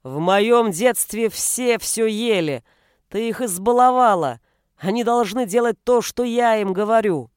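A woman voicing an irritable, domineering father who barks gruff commands in an audiobook. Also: fairly high pitch, unhurried, dry recording, studio quality, natural voice. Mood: enraged. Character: irritable, domineering father snapping and roaring at his family. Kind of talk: audiobook character voice.

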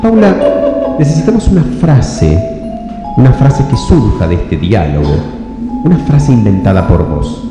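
Animated Argentine man, very echoey hall. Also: mid-range voice, measured pace, over music. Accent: Rioplatense Argentine. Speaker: host of a radio theatre show. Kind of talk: playful audience interview.

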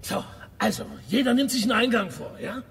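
A young man playing a swaggering, menacing gang leader in a radio drama, loud, bossy and taunting. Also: very high voice, expressive, brisk, creaky, a little hiss or hum, full character voice. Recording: distant, long echoing reverb.

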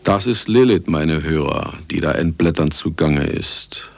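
An actor playing an aging, obsessive man in a German radio drama, gravelly, theatrical and insistent. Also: rumbling low, animated, measured pace, no echo, soft background music, exaggerated voice. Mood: declamatory. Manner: theatrical, insistent, swinging between coaxing and brooding.

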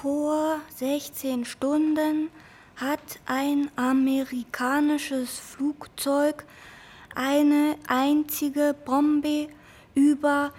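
An actress playing a timid twenty-three-year-old wife who reads poorly, speaking haltingly in a radio drama. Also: female voice, sits high, level tone, a little mellow. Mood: halting, effortful. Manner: halting, stumbling when reading aloud.

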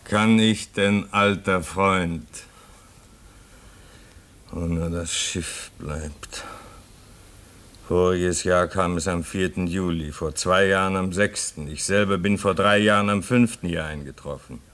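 A man's voice: deep voice, steady tone, fairly bright tone. A weary homesick polar man. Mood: brooding. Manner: brooding, bitter, slow and drawn out. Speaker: radio drama actor. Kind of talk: 1950s-style German radio play acting.

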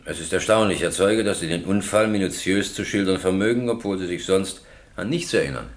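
An actor playing a stern, sceptical presiding judge in a radio play, formal and probing with dry irony. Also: male voice, sits low, a little fast.

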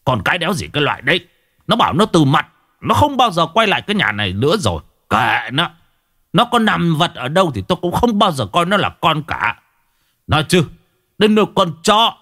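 A man's voice: fairly high pitch, a bit bright, pitched above the performer's own voice, full character voice. A man voicing a hot-tempered, stubborn old husband who scolds and snarls in bitter, foul-mouthed questions, in a dramatic audio story. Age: older.

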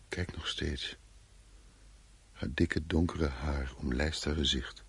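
Radio drama: brooding husband telling a dream, bottom-register voice, husky and worn. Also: male voice, rumbling low, full character voice. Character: brooding husband recounting a dream of his fearful wife.

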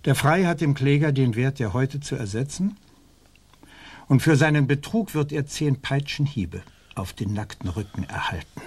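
An actor playing a stern, self-righteous colonial judge in a radio play, formally pronouncing judgment in a husky voice. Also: male voice, mid-range voice, expressive, moderate pace, a little mellow. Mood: stern, cold.

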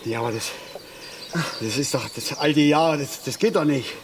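An actor playing a gruff, grumbling middle-aged father and passionate angler in a radio drama, talking in halting, colloquial German with small tag questions. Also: mid-range voice, noisy background, close to an everyday voice. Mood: reluctant, tender. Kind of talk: naturalistic radio drama acting. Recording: outdoor nature ambience, clearly audible.

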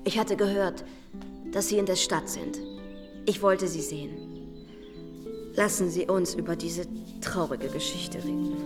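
A woman's voice: lowish voice, steady tone, unhurried, long pauses, lightly acted. An actress playing a worldly, self-assured young society lady in a radio drama, poised, persuasive and haughty. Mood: cool, persuasive. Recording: long reverb with music behind.

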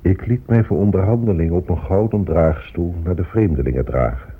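An actor playing a proud, grave Inca emperor and chronicler in a radio drama, speaking in a stately manner. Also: male voice, sits low, normal speed, slightly rough. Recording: muffled phone-like old radio play.

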